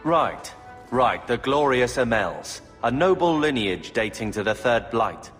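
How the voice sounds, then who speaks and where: A man's voice: medium pitch, very animated, measured pace, a bit bright. A man playing a bitter, sarcastic young man, an aggrieved younger brother in a video game. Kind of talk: dramatic video game acting. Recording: long reverb with background music.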